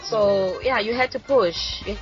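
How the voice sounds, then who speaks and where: A woman's voice: mid-range voice, unhurried. Eager young woman from Mozambique. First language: Shangana. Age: 20s. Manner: eager, casual, many "like"s. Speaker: reality show contestant, guest. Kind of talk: casual interview. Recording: dull lo-fi audio with faint music.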